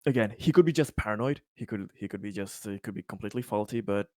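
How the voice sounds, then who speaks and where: Halting man chatting over a game, dry sound. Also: fairly low pitch, a little mellow, dry recording. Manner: halting, repeats and restarts phrases, swears freely. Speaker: tabletop roleplaying player. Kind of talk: casual game chat over voice call.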